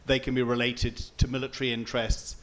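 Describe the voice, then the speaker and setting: A man's voice: mid-range voice, flat delivery, fast talker, a little hiss or hum. A man moderating a press panel, asking follow-up questions with broken-off starts. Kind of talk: panel discussion.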